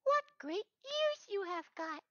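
Man playing a little girl, naive, polite, storybook, very high falsetto, singsong, animated, dry muffled recording. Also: slow pace, no echo, heavily acted. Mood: wondering. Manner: exclaiming, singsong, innocent. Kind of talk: storybook character voice.

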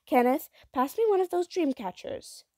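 A woman's voice: high voice, animated, normal speed, a little mellow, no room sound, studio quality, pitched above the performer's own voice. A woman voicing a protective mother in a storybook, stern and emphatic as she lays down rules. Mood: firm.

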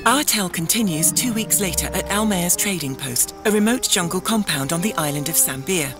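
A woman's voice: fairly low pitch, lively intonation, a little fast, music in the background. A woman narrator reading scripted, present-tense scene descriptions for an audio drama.

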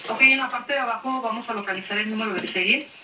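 A woman's voice: fairly high pitch, quick pace. A woman speaking politely and formally as a technical support agent on the phone. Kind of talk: formal customer service phone call. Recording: distant echoey phone line with noise.

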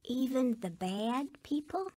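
Scared earnest young girl in radio play, high voice, dry close sound. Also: expressive, slow pace, warm tone, breathy edge, no echo, full character voice. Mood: anxious, earnest. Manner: earnest, pleading. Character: anxious, abused young girl, earnest and timid. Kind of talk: radio drama acting.

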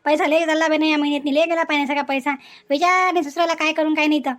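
A woman's voice: sits high, steady tone, very fast, close to an everyday voice. A woman voicing a thrifty, exasperated older mother-in-law in a family cartoon, grumbling in lists with a Varhadi Marathi accent. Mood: weary refusing. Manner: grumbling, complaining in repetitive lists. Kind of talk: cartoon family comedy acting.

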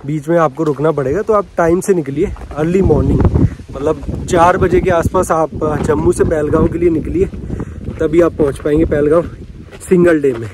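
Male travel vlogger, easygoing running commentary to camera, a bit high-pitched, light ambient noise outdoors. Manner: chatty, keeps saying "so ji" and "dekh sakte ho". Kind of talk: handheld travel vlog narration. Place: outdoors and at a pilgrim rest house.

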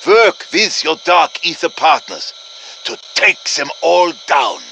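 An actor playing an urgent, commanding professor who briefs soldiers in a deliberate, dramatic manner, as video game voice lines. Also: male voice, fairly high pitch, sing-song, vocal fry, bright, forceful tone, a little hiss or hum, exaggerated voice. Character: urgent, commanding male professor guiding soldiers against demons. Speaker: game character voice, mission guide.

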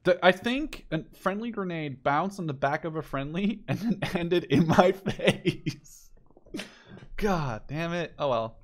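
A man in his twenties from the Netherlands, chatting casually and joking with a friend while playing a shooter game, with sudden excited shouts. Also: a little high, slow pace. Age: 20s. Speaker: gaming channel host. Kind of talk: casual gameplay chat with a friend.

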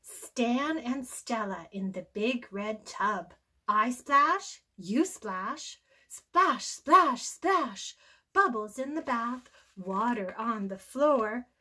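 High, bright older woman reading slowly. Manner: expressive, sing-song, deliberate phrasing for young children. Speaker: storybook read-aloud host. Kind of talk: animated children's story reading.

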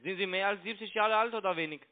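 Foreign-accented man on a tinny phone line. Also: high voice. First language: not German. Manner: halting, broken grammar. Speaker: cold caller posing as a broker. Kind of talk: unscripted sales phone call.

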